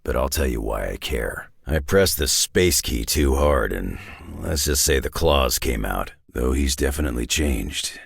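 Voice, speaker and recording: An actor playing a gruff, sardonic, battle-hardened male superhero in a video game, growling tough-guy slang in a raspy North American accent. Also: lively intonation, a little slow, dry recording, studio quality, heavily acted. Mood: gruff, wry. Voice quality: very deep, gravelly, raspy growl. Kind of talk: video game character voice lines.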